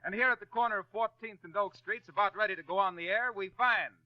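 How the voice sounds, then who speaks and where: A genial man sets the scene for a radio comedy in a high, clear tone. The recording is dry and dull, straight from a 1930s broadcast. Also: fast talker, no echo. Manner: genial, storytelling. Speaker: radio announcer. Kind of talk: 1930s radio show introduction.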